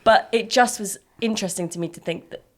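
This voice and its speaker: A young woman with a slightly nasal voice, chatting casually and rambling through stories with a podcast friend. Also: fairly quick. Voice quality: slightly low, nasal from sinus problems. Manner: rambling, chatty storyteller who laughs mid-sentence. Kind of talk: casual chat between friends.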